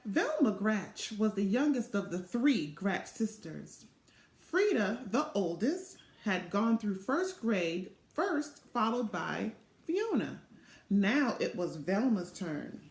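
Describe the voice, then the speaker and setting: A middle-aged woman reading a children's storybook aloud in an expressive, deliberate way. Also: medium pitch. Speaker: literacy program co-chair reading aloud. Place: small indoor office or home room. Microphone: device mic at arm's length. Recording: echoey room sound.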